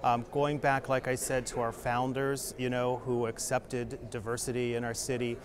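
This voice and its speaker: A man's voice: mid-range voice, little pitch movement, normal speed, a crowd in the background. Guy being interviewed outside, easygoing and reassuring, people chattering all around. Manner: reassuring, with fillers. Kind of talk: casual interview answer.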